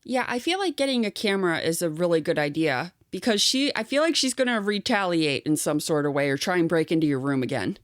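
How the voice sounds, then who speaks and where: Lively low-voiced woman co-host. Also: a little fast, few pauses, dry recording, studio quality. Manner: chatty, agreeable, reads stories in a steady storytelling voice. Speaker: podcast co-host. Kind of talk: casual chat, reading online posts aloud.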